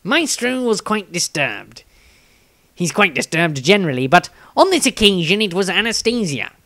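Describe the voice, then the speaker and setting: A man's voice: high voice, very animated, moderate pace, sharp tone, dry recording, pitched above the performer's own voice, heavily acted. A man voicing a conceited male scholar of magic, pompously reading from his storybook diary. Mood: smug, explaining.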